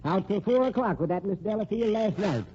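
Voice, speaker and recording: Casting: vain, touchy old rich man, radio drama, soft mellow drawl, dull vintage sound. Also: high-pitched, expressive, fairly quick. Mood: touchy, insistent. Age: older. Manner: folksy, boastful, touchy. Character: elderly vain millionaire, smitten with young women. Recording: muffled old radio recording, faint noise.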